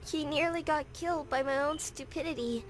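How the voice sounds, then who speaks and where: A woman's voice: high voice, normal speed, close to an everyday voice. A woman playing a determined, anxious teenage schoolgirl in a visual novel, with music behind her. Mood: defiant.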